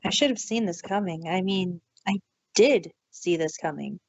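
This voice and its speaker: A woman voicing a young, earnest pony princess, hurt and fretful, pleading haltingly in a storybook reading. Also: on the low side, no echo, dull audio. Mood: upset, dejected.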